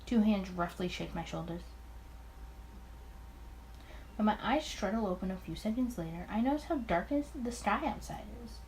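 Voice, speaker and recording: A woman's voice: mid-range voice, fairly quick, darkish tone, a little hiss or hum, close to an everyday voice, low-quality recording. A woman reading the first-person thoughts of an anxious, smitten young male detective in a visual novel. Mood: hesitant, tender.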